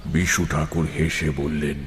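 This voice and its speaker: A man narrating a first-person horror story in a dramatic, suspenseful, expressive scripted style. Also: a bass voice, unhurried, slight background noise. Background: prominent music.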